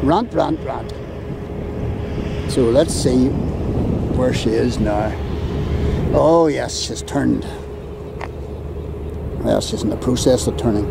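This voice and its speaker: A Northern Irish man filming a harbour outdoors, ranting sarcastically in an exasperated, drawn-out way. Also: mid-range voice, creaky. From Northern Ireland. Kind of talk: ranting handheld commentary. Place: outdoors on a windy harbour quay. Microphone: camera mic while filming. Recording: outdoor camera audio with steady wind and traffic noise.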